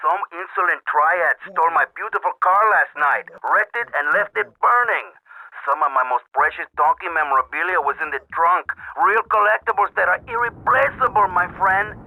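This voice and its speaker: A vengeful, theatrical gangster boss for a crime game. His Spanish accent is thick with boastful flourish, and his voice is piercingly bright, creaky and recorded very dry. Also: high-pitched, normal speed, no room sound, full character voice, low-quality recording. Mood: indignant, grandiose. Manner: florid, boastful. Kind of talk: cartoonish video game cutscene acting.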